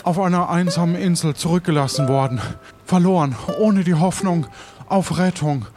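A man playing a theatrical pirate ship's cook in an improvised audio adventure, phrasing things dramatically and haltingly. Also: high voice, over loud music, close to an everyday voice. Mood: solemn, dramatic.

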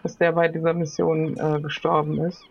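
A woman's voice: low-pitched, level tone, normal speed, creaky, highs cut off. A woman playing an improvised role-playing game in casual chat, thinking aloud hesitantly.

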